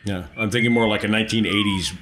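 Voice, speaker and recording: A man's voice: on the low side, fairly even intonation, fast talker, heavy background noise. A man chatting casually as a player in a tabletop role-playing game, saying what his character does.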